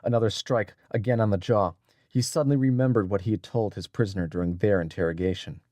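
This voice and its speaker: Low-voiced man narrating an adventure story. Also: fairly even intonation, fast talker, warm tone, dry recording. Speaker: audiobook narrator. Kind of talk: scripted narration of adventure fiction.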